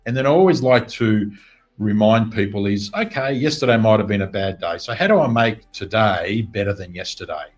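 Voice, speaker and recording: A man's voice: fairly low pitch, normal speed. A middle-aged man, a business coach answering interview questions in an earnest, reflective way.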